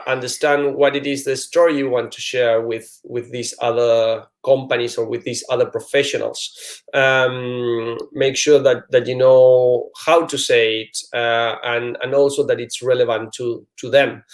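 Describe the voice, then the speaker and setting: A man's voice: medium pitch, little pitch movement, measured pace. A man whose first language is Spanish, with a Spanish-speaking accent, hosting a live job-search Q&A stream in an earnest, hesitant way with many fillers and restarts. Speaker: job search Q&A host, IT recruiter.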